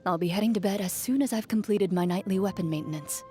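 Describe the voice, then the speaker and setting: An actress playing a cool, composed young woman, a champion duelist in a fantasy video game, speaking in a measured, formal and restrained way. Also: mid-range voice, mellow, faint music behind, natural voice. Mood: calm, polite. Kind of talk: scripted video game character voicelines.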